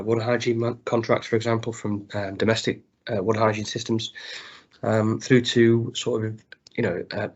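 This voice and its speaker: A man with a cold-roughened, croaky voice giving a webinar presentation, halting with frequent fillers and restarts. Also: fairly low pitch. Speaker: guest speaker on water hygiene. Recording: dull lo-fi webinar audio.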